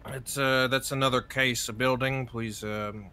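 Bright-voiced man as hesitant roleplay judge. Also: medium pitch, steady tone, soft background music. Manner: halting, filler-heavy questioning. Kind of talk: casual in-character courtroom roleplay.

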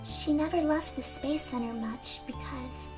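A young woman voicing a gentle, frail, wistful teenage girl in a video game fan dub, speaking softly and earnestly. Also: high voice, measured pace. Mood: reminiscing. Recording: amateur fan dub over game music. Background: game music.

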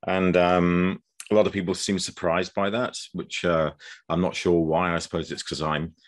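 A man in his sixties with an English accent, a guest author explaining things in a measured way with frequent ums over a video call. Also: low voice, flat delivery. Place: dim room indoors.

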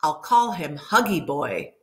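A middle-aged woman reads a children's picture book aloud like a teacher, animated and clearly enunciated for young listeners. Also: deep voice, slow. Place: room at home by a window, indoors.

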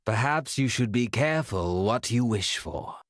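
An actor voicing a smug, menacingly polite financial official, speaking in formal, drawn-out theatrical phrases for a video game. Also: male voice, mid-range voice, lively intonation, no room sound, clean studio sound. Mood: gloating formality.

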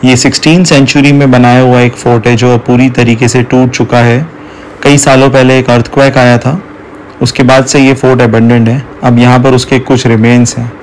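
A man's voice: mid-range voice, level tone, normal speed, a little hiss or hum, rough recording. A man narrating a travel guide to sights along a coast, explaining each spot and mixing in English words.

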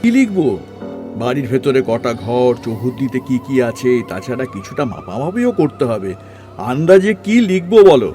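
A man's voice: mid-range voice, very animated, normal speed, music in the background, close to an everyday voice. A man playing a weary, irritable government overseer in an audio story, grumbling and bossy in colloquial speech. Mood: businesslike, deciding. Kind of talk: Bengali audio story dramatization.

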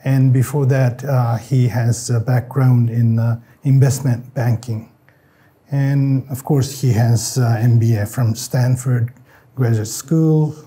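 A man introducing speakers at an event, slow and halting with many fillers. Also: medium pitch, fairly even intonation, dark tone. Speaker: event emcee. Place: event hall.

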